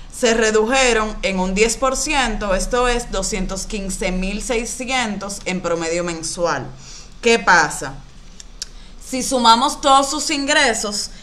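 A woman in her thirties explaining personal finance to camera as a coach, in a didactic, deliberate way. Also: mid-range voice, fairly bright tone. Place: small indoor room. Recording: some background room noise.

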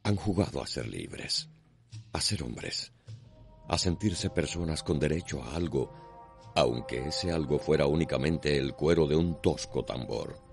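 A man. His delivery is solemn, measured and poetic, with faint music behind him. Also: rumbling low, lively intonation, vocal fry. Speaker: narrator. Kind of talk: scripted radio soap narration.

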